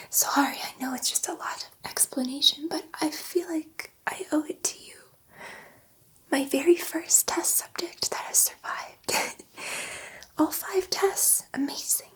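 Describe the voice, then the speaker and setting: A soft, breathy whisper carries this woman's portrayal of a female alien scientist in an ASMR sci-fi roleplay. She takes her time, with unhurried phrasing and a high, delicate pitch. She is gentle and reassuring, a little nervous and prone to rambling. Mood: nervous excitement. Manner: hesitant, rambling, reassuring, easily sidetracked.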